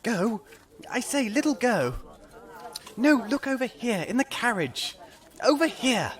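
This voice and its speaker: A male actor playing a pompous, fretful rich gentleman with genteel old-fashioned phrasing in a comic audio drama. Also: very high voice, very animated, a little slow, heavily acted. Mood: urgent calling. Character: fussy, panicky rich gentleman, pompous and perfumed.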